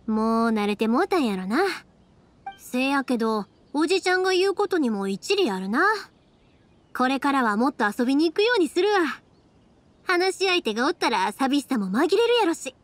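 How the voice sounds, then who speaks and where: A woman's voice: high-pitched, sing-song, normal speed. A woman playing a cheerful young fireworks maker in an anime game, breezy and friendly, with a Kansai accent. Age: young adult. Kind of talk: Japanese anime game voice acting.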